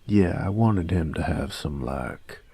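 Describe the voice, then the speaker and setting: A man playing a gruff young bully in an audio roleplay, husky and gravelly, talking in halting slang with feigned indifference. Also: deep voice, lively intonation, mellow, heavily acted. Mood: casual, curious. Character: gruff young male bully, secretly curious about nerdy games. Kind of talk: intimate one-sided audio roleplay, casual dialogue.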